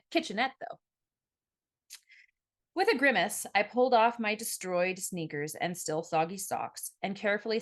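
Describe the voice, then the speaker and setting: A woman narrating a first-person novel as an audiobook, with an expressive storytelling delivery. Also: mid-range voice. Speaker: author reading own novel.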